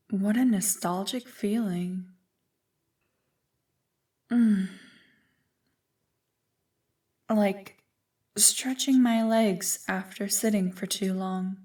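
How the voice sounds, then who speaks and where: A woman playing an ancient evil female spirit in an ASMR roleplay, seductive, smug and condescending in a deliberate, teasing manner. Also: mid-range voice, darkish tone, recorded from a distance, close to an everyday voice. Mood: teasing, languid.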